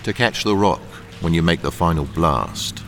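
A man playing a cold criminal boss in a radio thriller, commanding and smoothly threatening. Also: deep voice, normal speed, fairly bright tone, soft background music. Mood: dismissive. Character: cold, menacing male criminal boss running a mine.

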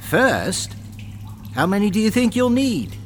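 An uneasy, plain-spoken manual worker acted by a man in a radio drama. His voice is a little high and matey, set against clear noise of water. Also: very animated, measured pace. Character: plain-spoken, uneasy male labourer, stonemason by trade. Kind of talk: radio thriller acting.

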